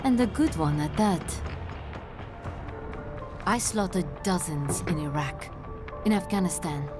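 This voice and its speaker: An actress playing a seductive, menacing mercenary killer in a video game, with a languid, taunting, theatrical delivery. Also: female voice, on the low side, animated, a little slow. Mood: proud, reflective. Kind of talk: video game cutscene acting. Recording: music behind the dialogue.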